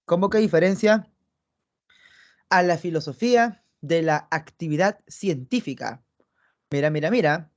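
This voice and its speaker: A young man with a high voice lectures on philosophy over a video call. He talks chattily and keeps checking in with his students. The computer-mic audio is dull and muffled. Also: measured pace, long pauses. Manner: chatty, tags phrases with "¿no?" and "¿ya?"; talks directly to students, affectionate asides. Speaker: philosophy teacher. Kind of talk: online pre-university lecture.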